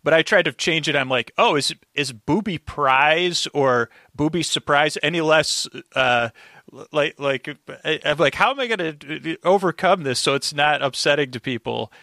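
A man chatting casually as a podcast guest, halting and often interrupting himself, close on the microphone. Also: on the high side, pushed and bright, dry recording. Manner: rambling, halting, self-interrupting. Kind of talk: casual recap podcast chat. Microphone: close, dry podcast microphone.

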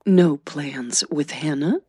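A woman's voice: low-pitched, slow pace, dark tone, breathy edge, no echo, studio quality, exaggerated voice. A woman voicing an enthusiastic, affectionate middle-aged mother who encourages and teases, in an audio drama. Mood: curious, teasing. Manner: eager, affectionate.

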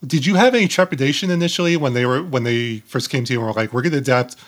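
A man hosting a casual interview, talking haltingly and repeating words as he gropes for phrasing. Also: mid-range voice. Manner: halting, stammering word repetitions. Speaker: podcast host.

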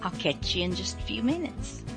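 A woman's voice: medium pitch, sing-song, moderate pace. A woman hosting a radio show in a casual, chatty style. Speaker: show host. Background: music.

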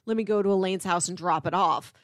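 A woman's voice: on the low side, fast talker, no echo, studio quality. A woman co-hosting a podcast, chatting casually and conversationally about film.

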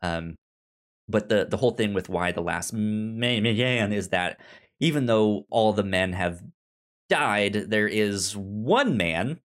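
A man's voice: lowish voice, expressive, slow pace. A man with a pronounced stutter, chatting casually as a podcast co-host about things he recommends. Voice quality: pronounced stutter, repeating sounds and syllables. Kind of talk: casual discussion and recommendations of books and shows.

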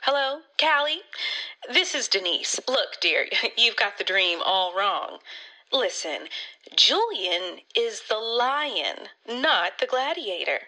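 A woman voicing a brisk, pushy female talent agent who talks down to people. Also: a little high, very animated, bright, forceful tone, full character voice, poor audio. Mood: coaxing. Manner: insistent, incredulous, condescending.

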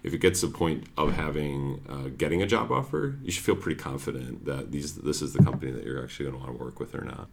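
A man, a talent acquisition director, giving relaxed, casual interview answers full of "like" and "you know". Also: very deep voice, quick pace, gravelly, hardly any pauses.

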